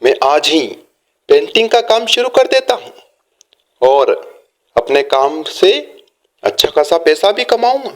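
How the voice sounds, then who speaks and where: A man's voice: on the high side, very animated, a little slow, long pauses. A man voicing a poor, earnest, luckless young painter in a cartoon moral story, with a plaintive manner. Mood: grateful, hopeful.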